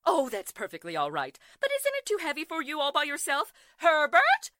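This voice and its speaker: A very high, bright, middle-aged society matron in a 1940s-style radio play. She gushes and flutters theatrically, swooping across her whole range and always close to hysteria. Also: huge pitch swings, normal speed, no room sound, exaggerated voice. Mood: fussy, chatty. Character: gushing, flighty, hysterical wealthy society matron.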